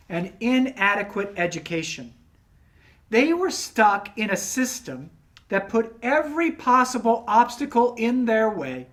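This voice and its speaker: A man's voice: high-pitched, fairly bright tone, full character voice. Man acting as a dignified, formal elderly scholar. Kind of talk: dramatic reading of historical monologue.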